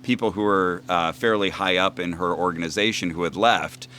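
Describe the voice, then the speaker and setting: Man, lowish voice, casual podcast guest, halting self-corrections. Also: pushed and bright, a little hiss or hum. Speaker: podcaster being interviewed as a guest. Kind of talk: casual chat, telling anecdotes.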